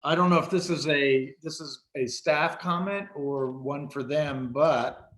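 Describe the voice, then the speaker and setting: A man's voice: a little high, unhurried, a bit bright, echoey room. A man serving as planning commissioner, asking a tentative question at a public meeting.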